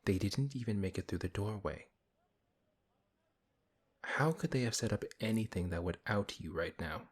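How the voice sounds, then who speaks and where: A man playing a big, good-hearted, exasperated paladin in a fantasy audio roleplay, flustered and questioning, with a husky voice. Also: on the low side, expressive, normal speed. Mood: exasperated, patient.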